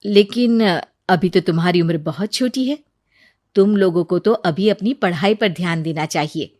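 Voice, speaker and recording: A woman voicing a composed, gracious queen with a regal, measured manner in a storybook tale. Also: fairly low pitch, a little mellow, no echo, clean studio sound, natural voice. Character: composed, gracious queen testing young petitioners.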